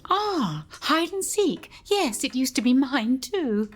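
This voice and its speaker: An actress playing a polite, eager and inquisitive new governess in an audio play. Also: female voice, high voice, sing-song, takes it slowly, exaggerated voice. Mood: polite, curious. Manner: prim, deferential, inquisitive.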